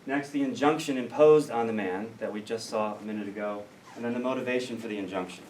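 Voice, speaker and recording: A man's voice: lowish voice, fast, darkish tone, recorded from a distance. American male scholar, mid-range voice, dry explanatory lecture delivery; roomy recording with faint noise. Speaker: academic lecturer in biblical studies. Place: lecture room.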